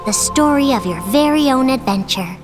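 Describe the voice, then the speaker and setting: An actress voicing a gentle female storyteller, narrating the opening of a fantasy video game in a slow, soft way. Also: high-pitched, animated, over music.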